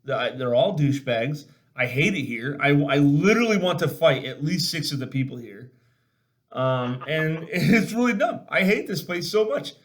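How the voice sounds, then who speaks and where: A man hosting a casual video chat, telling military stories in a blunt, salty, animated way and acting out the dialogue. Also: a little high, normal speed. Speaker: podcast host and army veteran.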